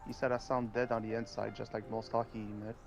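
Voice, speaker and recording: Gravelly deadpan male co-host. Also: on the low side, fast talker, over music. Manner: dry, deadpan remarks. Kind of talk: casual livestream chat.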